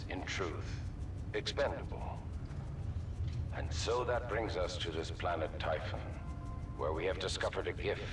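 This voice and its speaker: Deep-voiced, cold military research commander. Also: male voice, background noise. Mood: grave, insistent. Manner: measured, oratorical, deliberate, with weighty pauses. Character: cold, calculating male military research commander addressing his staff. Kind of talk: formal scripted speech to an assembly. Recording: echoey, distant address with music underneath.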